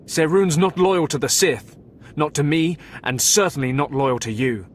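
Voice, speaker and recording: An actor playing a remorseful keeper at a Sith academy, speaking in a measured, somber way. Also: male voice, a little high, lively intonation, a little hiss or hum, natural voice. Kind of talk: video game voice acting.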